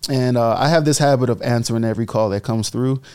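A man's voice: medium pitch, quick pace, mellow, clean tone. A young man from the Bahamas, a guest entrepreneur telling his story in a casual interview and acting out the quotes.

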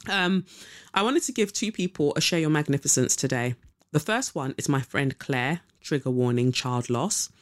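Chatty, rambling woman podcaster. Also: very deep voice. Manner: chatty, rambling, swears casually, trails off with ums. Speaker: podcast host. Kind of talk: casual spiritual podcast chat.

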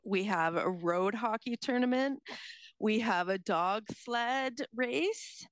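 A woman's voice: fairly high pitch, slow, clean tone, no room sound. A woman chatting casually on air as a community reporter.

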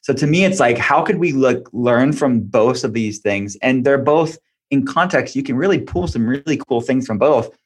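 A man's voice: mid-range voice, animated, normal speed. A man speaking as an expert guest in an interview, explaining health advice informally and conversationally. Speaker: expert guest, functional medicine practitioner and author.

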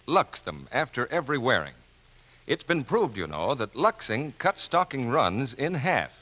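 Bright and very animated, this male announcer has a medium-pitched voice. He reads in the polished, persuasive style of 1940s network radio. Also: measured pace.

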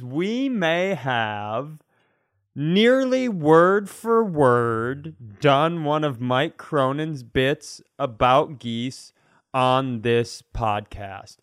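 A man's voice: mid-range voice, a bit bright, clean tone, dry recording, clean studio sound. A man co-hosting a comedy podcast, bantering casually in a wry, mock-serious way.

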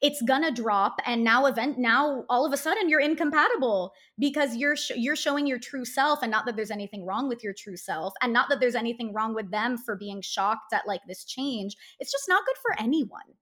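A young woman, an actress, speaking as a guest in a casual interview, loud, outspoken and joking. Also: fairly high pitch, animated, a little fast, hardly any pauses.

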